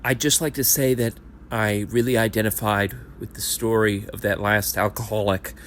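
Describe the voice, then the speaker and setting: A man's voice: fairly low pitch, steady tone, moderate pace, a bit bright, slight background noise, lightly acted. A man in his thirties playing a self-pitying lifelong sports fan at a support group, rambling and self-deprecating, and sometimes rising into indignant rants in an audio play. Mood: earnest confession. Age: 30s. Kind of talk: amateur audio play acting.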